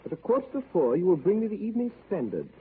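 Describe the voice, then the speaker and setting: An actor playing a precise, cold gentleman of fixed habits, speaking in a crisp, measured way in a 1930s radio play. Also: high voice, wildly swooping pitch. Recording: tinny lo-fi 1930s radio broadcast, faint hiss.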